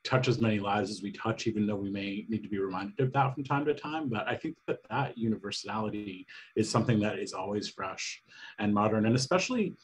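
A man's voice: fairly low pitch, fairly quick, a little hiss or hum, rough recording. A middle-aged man talking in a rambling, reflective way as a guest on a video call panel. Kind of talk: casual panel discussion. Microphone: video call microphone.

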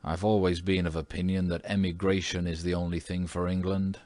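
A man voicing a calm, dry-witted diplomat who speaks quickly and evenly. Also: sits low, lightly acted.

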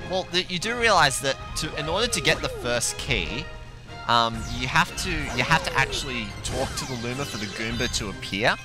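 A young man in casual chat while he plays a video game, excitable and given to exclaiming. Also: medium pitch, normal speed. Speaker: gaming video commentator. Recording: game music behind the voice. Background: video game music.

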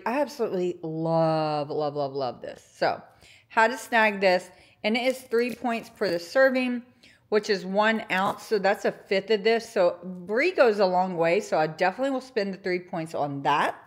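Chatty young woman showing off groceries. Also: medium pitch. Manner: chatty, enthusiastic, lots of fillers like "like" and "um". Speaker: grocery haul vlogger. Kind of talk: casual grocery haul narration. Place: home kitchen counter.

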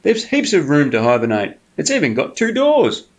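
A man doing a sly, flattering fox. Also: a little high, moderate pace, pitched above the performer's own voice. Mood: coaxing. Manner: coaxing, flattering sales patter. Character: sneaky, cunning male fox, smooth-talking persuader.

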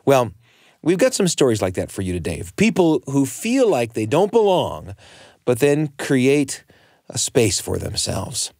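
A man's voice: mid-range voice, wildly swooping pitch, unhurried, creaky, a little mellow, dry recording, studio quality. An American man hosting a radio storytelling show in a conversational, emphatic way, often turning to the listener with questions.

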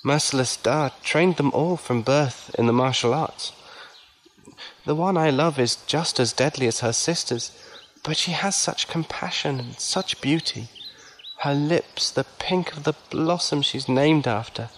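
A man's voice: a little high, unhurried, fairly bright tone, a little hiss or hum. A man acting in an audio drama as a lovelorn, timid travelling musician, earnest and hesitant. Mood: smitten.

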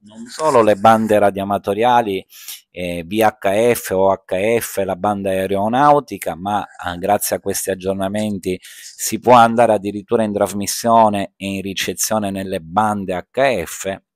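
A man's voice: lowish voice, fairly even intonation, a little slow, no room sound, studio quality. A man from Sicily, casually demonstrating small radios to camera at home in a rambling, chatty way. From Sicily, Italy. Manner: chatty, rambling, repeats fillers like insomma. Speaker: ham radio hobbyist and channel host. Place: indoor room at home.

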